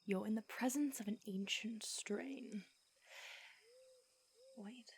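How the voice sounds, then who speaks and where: A woman voicing an ancient female vampire who mentors her fledgling, speaking in a hushed, breathy whisper with hesitant restarts. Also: on the high side, slow, heavily acted. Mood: remorseful, tender. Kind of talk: audio roleplay.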